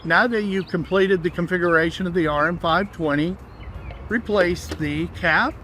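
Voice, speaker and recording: Higher-voiced man giving step-by-step instructions outdoors. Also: moderate pace, bright, forceful tone, a little hiss or hum. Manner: plain step-by-step explaining. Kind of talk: instructional walkthrough.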